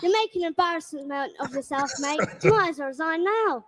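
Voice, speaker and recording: A woman with a very high voice rousing the crowd from an outdoor rally stage. She speaks into a handheld mic and is cheeky and rallying. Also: expressive, brisk, a bit bright, a little hiss or hum. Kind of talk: rally speech.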